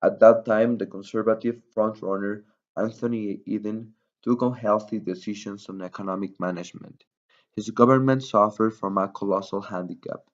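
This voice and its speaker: Muffled lo-fi recording, man, lowish pitch, formal scripted newsreel narration, wordy delivery. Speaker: newsreel reporter. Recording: dull lo-fi voice recording.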